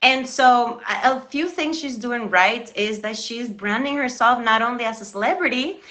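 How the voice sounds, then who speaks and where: Cuban-born woman on her live stream, bright voice, a bit high, pep-talking her viewers. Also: moderate pace. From Cuba. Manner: earnest, encouraging, addresses viewers directly. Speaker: live stream show host. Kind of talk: motivational live-stream talk.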